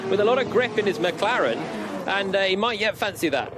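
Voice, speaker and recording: A man's voice: sits high, lively intonation, fairly quick, background noise. A man working as a motor racing co-commentator, giving analytical commentary on live race action.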